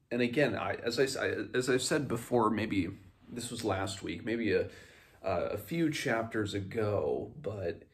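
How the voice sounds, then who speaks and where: A man with a fairly low voice hosts a casual book recap. He talks in a rambling, halting way, often doubling back to rephrase as he comments on the story.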